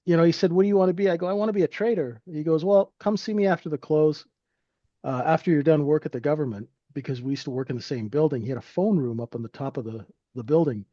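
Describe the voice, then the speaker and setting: A man's voice: fairly high pitch, quick pace, dark tone, no room sound, lo-fi sound. A man in his fifties from Canada, telling his life story in a rambling, friendly way with lots of fillers. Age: 50s. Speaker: guest trader. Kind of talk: casual interview storytelling.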